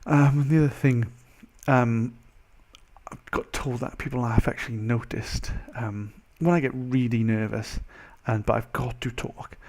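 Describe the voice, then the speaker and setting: A young man with a mild Welsh accent, chatting casually as a vlogger in a rambling, animated, self-interrupting way. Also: mid-range voice, a little slow. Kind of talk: casual chat to camera.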